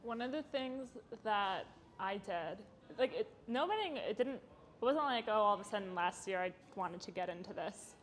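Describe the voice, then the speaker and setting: A young woman in her twenties chats easily as a podcast guest, her speech loose and full of "like" fillers, often breaking into a laugh. Her voice sits at a middle pitch. A faint hiss of background noise sits under the recording. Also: animated, moderate pace. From Chicago. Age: 20s. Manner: chatty, laughing, frequent "like" fillers. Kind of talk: casual interview chat.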